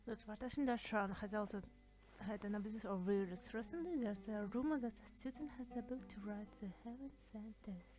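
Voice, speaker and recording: A woman doing casual gameplay commentary, reacting in short, halting murmurs as she reads along. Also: mid-range voice. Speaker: game streamer. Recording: loud game music behind voice.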